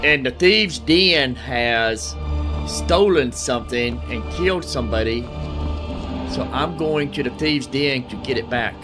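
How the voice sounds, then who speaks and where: Man, mid-pitched voice, gamer commentary, casual, rambling, repeats himself, thinking out loud, music behind. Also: unhurried. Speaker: gamer narrating own playthrough.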